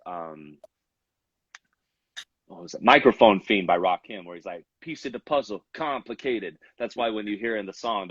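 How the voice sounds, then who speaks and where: Low-voiced man rambling about his music. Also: a bit bright, no room sound, dull audio. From Salt Lake City. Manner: rambling, many fillers and restarts. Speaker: rapper, interview guest. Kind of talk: casual interview chat.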